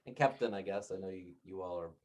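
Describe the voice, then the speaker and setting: A man's voice: deep voice, fairly quick, a little mellow. A man on a video call, chatting casually about work in a rambling way, often breaking off and restarting. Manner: rambling, self-interrupting, laughs often. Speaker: meeting participant. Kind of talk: casual work meeting chat. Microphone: laptop speaker mic on video call.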